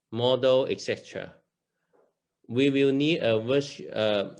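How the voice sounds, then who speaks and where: A man whose first language is Chinese, practicing English in a lesson, hesitant and halting with many restarts. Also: mid-range voice, clean tone. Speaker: English student. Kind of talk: lesson conversation.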